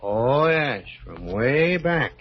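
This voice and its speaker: A man's voice: mid-range voice, big pitch swings, drawn-out words, slightly rough, heavily acted. An actor playing a sly, overfamiliar and menacing man in an old-time radio thriller, with a needling drawl. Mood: taunting, overfamiliar. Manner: drawling, needling, swooping delivery. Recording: muffled vintage radio recording.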